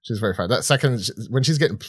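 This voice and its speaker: A man with a fairly low voice talks as a podcast co-host. He rambles through his opinions in a loose, sweary, conversational style, recorded dry and close. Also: lively intonation, racing, husky, no room sound. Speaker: podcast co-host reviewing films and series. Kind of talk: casual unscripted film chat.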